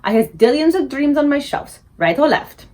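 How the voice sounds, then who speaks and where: A woman voicing a big, gentle old male giant who mangles his grammar and invents words. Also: high voice, expressive, normal speed, voice pushed up. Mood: explaining. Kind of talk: audiobook character reading.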